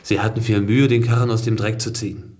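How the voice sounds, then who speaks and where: An actor playing a proud, idealistic imprisoned revolutionary man in a German radio play, speaking earnestly and deliberately. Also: on the low side, flat delivery, a little mellow, lightly acted. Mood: earnest, fervent.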